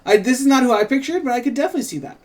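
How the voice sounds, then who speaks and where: A man with very animated, up-and-down intonation co-hosts a table read. He narrates scene directions and slips into casual chat about the script. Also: extremely high pitch, racing. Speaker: co-host and script co-writer.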